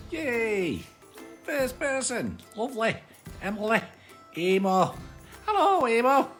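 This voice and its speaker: Children's storyteller puppet: chatty British armadillo played by a man, hugely animated mid-range voice, repeats himself fondly, faint music underneath. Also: sits high, heavily acted. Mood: excited, welcoming. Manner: cosy, chatty, repeats phrases. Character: friendly chatty armadillo puppet, long-suffering bedtime storyteller. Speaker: host of children's live story show. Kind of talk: casual children's livestream chat.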